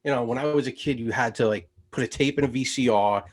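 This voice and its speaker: A man from the United States talking casually as an interview guest, relaxed and rambling, with lots of fillers. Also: medium pitch, fast talker. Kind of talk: casual conversation.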